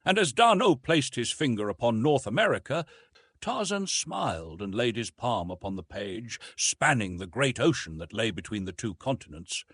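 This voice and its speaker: A man narrating a classic adventure novel as a dramatic, expressive audiobook reading. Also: mid-range voice, moderate pace, breathy edge, no echo.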